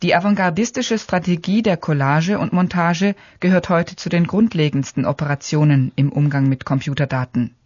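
Measured essay narrator, dry lo-fi sound. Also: medium pitch, darkish tone, dry recording. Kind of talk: experimental radio essay narration.

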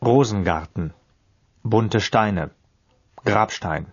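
A man delivers an experimental spoken-word piece in a dry, declamatory way. His tone turns without warning from flat instruction to sudden emphasis. The recording sounds dull and lo-fi. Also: fairly low pitch, drawn-out words, heavy background noise. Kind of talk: experimental radio piece reading.

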